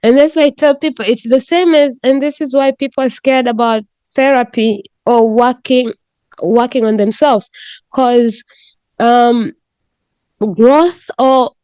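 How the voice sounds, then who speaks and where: A woman's voice: a little high, steady tone, unhurried, a little mellow, clear, resonant tone, no room sound. A woman from Tanzania, a podcast guest speaking frankly and with animation, swearing freely. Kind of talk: candid conversational storytelling.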